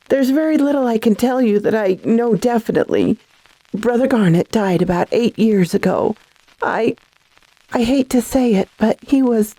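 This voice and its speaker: A woman's voice: a little high, warm tone, no echo, poor audio. An actress playing a frightened, bewildered, fragile lady in a radio melodrama, hesitant and breathless. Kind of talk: classic radio drama acting.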